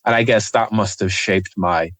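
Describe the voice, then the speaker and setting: A young man from Nigeria, a journalist guest, talking reflectively in an interview with frequent pauses and ums. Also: fairly low pitch, dry recording. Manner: hesitant, many ums, soft-spoken.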